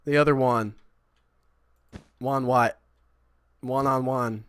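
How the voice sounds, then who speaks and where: A man chatting casually while he streams a building game, rambling and self-deprecating, with a high, squeaky voice. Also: fairly even intonation, slow pace, a bit bright, clean tone, no echo. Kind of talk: casual livestream chat.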